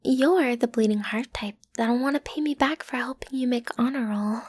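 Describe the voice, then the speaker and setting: Breathy, teasing villain girl, acted by a woman. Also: fairly high pitch, moderate pace, a little mellow, dry recording. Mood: scheming, confident. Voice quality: breathy, often dropping to a whisper. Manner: teasing, coaxing, self-assured. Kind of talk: scripted roleplay audio, intimate and breathy.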